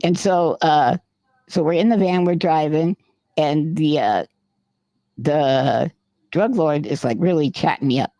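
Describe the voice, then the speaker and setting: A man's voice: a little high, unhurried, warm tone, no room sound. A man co-hosting a podcast, telling a long rambling anecdote in casual chat and swearing freely. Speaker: podcast co-host. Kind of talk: casual storytelling.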